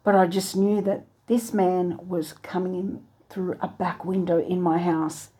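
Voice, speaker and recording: A woman earnestly recounting a dream, halting now and then to search for words. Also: on the low side, level tone, dark tone, slightly rough. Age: adult with a three-year-old daughter. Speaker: channel host recounting a dream. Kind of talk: personal dream testimony.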